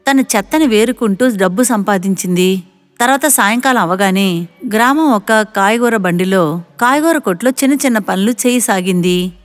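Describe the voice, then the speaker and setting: A woman narrating a scripted moral story. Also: medium pitch.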